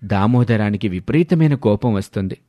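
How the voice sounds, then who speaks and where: A man's voice: on the low side, expressive, moderate pace, mellow, dry recording. A man narrating a scripted family story as a storyteller.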